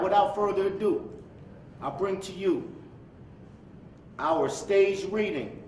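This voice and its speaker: A man giving a formal public introduction as the host of a staged reading at a live event. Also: sits high. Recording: echoey room, distant mic, faint noise.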